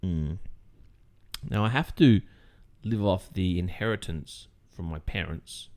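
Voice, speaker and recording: An Australian man playing a sweetly possessive, delusional kidnapper boyfriend in an intimate ASMR roleplay, speaking haltingly with hesitant murmurs. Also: low-pitched, a little mellow. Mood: darkly amused. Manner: halting, drawn-out phrasing with hesitant murmurs and nervous chuckles. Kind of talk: ASMR roleplay, intimate monologue addressed to listener.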